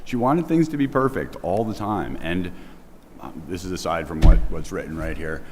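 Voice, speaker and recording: Man, 50s, very low voice, loud and informal, faint hiss. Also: expressive, normal speed. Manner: loud, casual speaking with chuckled asides. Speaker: nephew speaking at a memorial. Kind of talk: eulogy mixing reading and off-script remarks. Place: church sanctuary. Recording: long church reverb, faint background hiss.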